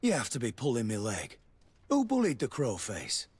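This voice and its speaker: An actor playing a forgetful, salty old-hand barracks clerk in a video game, colloquial and given to colourful exclamations. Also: male voice, medium pitch, wildly swooping pitch, normal speed, a little mellow, husky, no echo, full character voice. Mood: incredulous, indignant. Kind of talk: dramatic video game acting.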